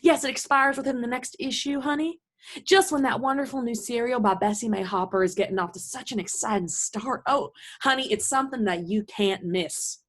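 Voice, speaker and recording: A woman's voice: a little high, brisk, lightly acted. A woman playing a domineering, prim middle-aged Southern mother in a stage play reading, theatrical and chattering. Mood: gushing, coaxing. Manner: theatrical, indignant, gushing.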